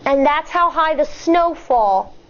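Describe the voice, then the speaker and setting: A woman, an elementary school teacher, reading a nonfiction picture book aloud to young children in a deliberate, explanatory way. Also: sits high, animated, a bit bright. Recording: dull, muffled lo-fi sound.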